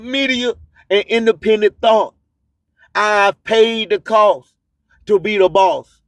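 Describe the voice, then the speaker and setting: A man's voice: sits high, dry recording. A man hosting a radio show, delivering a declamatory, rhyming spoken-word monologue with repeated phrases.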